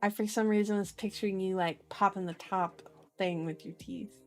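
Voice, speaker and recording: A woman's voice: mid-range voice, steady tone, normal speed. Woman in casual ASMR reading, music behind. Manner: playful, informal asides, self-correcting while reading. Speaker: streamer, reader. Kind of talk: ASMR book reading with casual chat to viewers. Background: background music.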